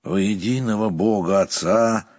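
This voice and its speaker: A man voicing a stern old-fashioned monk at the gate, speaking slowly and solemnly. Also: lowish voice, darkish tone, dull audio, pitched above the performer's own voice. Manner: slow, solemn, unbending repetition.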